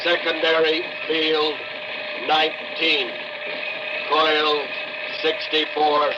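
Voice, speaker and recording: Very slow, halting robot. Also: male voice, fairly high pitch, very noisy. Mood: cold, mechanical. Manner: clipped, halting, monotone commands in fragments. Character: cold robot laboratory machine Max, male, emotionless. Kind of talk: 1960s radio drama acting. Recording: dull old radio broadcast, loud music.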